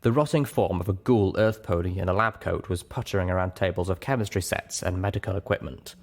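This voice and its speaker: A man with a fairly low voice narrates an audiobook. He reads scripted first-person story prose. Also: brisk.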